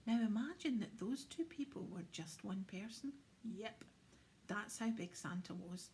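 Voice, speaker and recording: A woman narrating a children's storybook in an expressive, often hushed and dramatic way. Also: medium pitch, measured pace, a little hiss or hum. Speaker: storybook reader.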